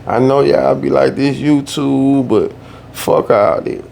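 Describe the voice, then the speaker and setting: Male vlogger, gravelly voice, loose slangy rant, echoey room with faint hum. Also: medium pitch, slow pace, darkish tone. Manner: loose, slangy, repeats himself for emphasis. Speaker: YouTube storyteller. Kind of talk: casual ranting vlog.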